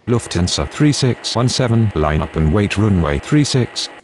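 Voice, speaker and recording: A man's voice: fairly low pitch, sing-song, normal speed, a little hiss or hum. A man playing a businesslike airline radio voice, giving formal, clipped scripted phraseology. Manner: formal, procedural. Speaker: pilot and air traffic controller voices.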